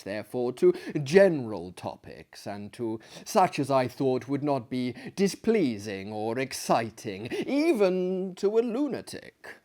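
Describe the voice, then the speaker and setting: A man in his thirties reading a literary tale aloud in a theatrical, measured way with marked pauses. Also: medium pitch, darkish tone. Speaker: performer reading a story aloud. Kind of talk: dramatic storytelling, reading a literary short story. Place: small room with armchair and bookshelves, indoors.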